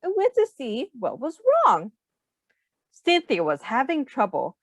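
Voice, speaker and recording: A woman reading a children's storybook aloud in an expressive, simple teacher's manner, pausing to put questions to young listeners. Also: on the high side, no room sound.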